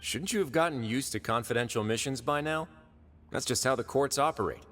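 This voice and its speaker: A man's voice: mid-range voice, fairly quick, fairly bright tone, close to an everyday voice. A man playing a casual, teasing fortress overseer in a game, with an informal manner. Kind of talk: game voice acting. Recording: music under the voice.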